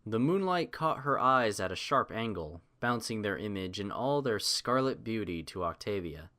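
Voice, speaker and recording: A man narrating a scripted audiobook in a theatrical, measured storytelling manner. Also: lowish voice, lively intonation, bright, forceful tone.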